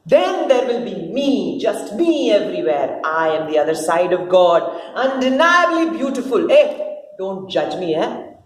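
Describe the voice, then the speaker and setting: A woman's voice: mid-range voice, big pitch swings. A woman voicing a scheming, jealous serpent with a sneering, melodramatic manner in a dramatic stage play reading. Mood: haughty. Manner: sneering, melodramatic, repeats words, drawn-out phrases. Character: scheming, jealous, theatrical serpent, mocking fallen angel. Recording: roomy echo with clear background noise.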